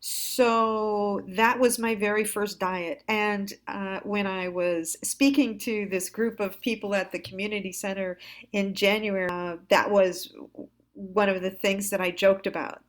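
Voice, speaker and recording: A woman hosting a confessional storytime, candid and self-deprecating, speaking haltingly with pauses. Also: fairly low pitch. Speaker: storyteller, host.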